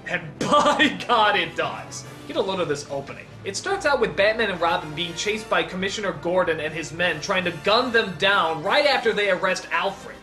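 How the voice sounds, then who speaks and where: A man's voice: high-pitched, normal speed, few pauses, faint music behind. A man narrating a scripted comedic review in an emphatic, exclamatory way. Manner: animated, emphatic, exclamatory, profane. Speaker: video reviewer and countdown host.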